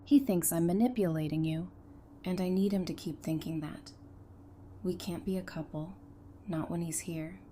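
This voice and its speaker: A woman acting a defiant, sharp-tongued but protective survivor in an audio drama, blunt and intense in her delivery. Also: lowish voice, normal speed, natural voice. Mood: tense, bitter. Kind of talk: full-cast fan audio drama acting. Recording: clear background noise.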